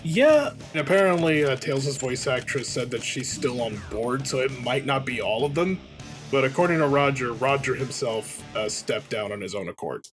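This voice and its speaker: A man's voice: mid-range voice, expressive, normal speed, faint music behind. A man co-hosting a let's play, talking casually and bluntly in a rambling conversational way. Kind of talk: casual gaming commentary chat.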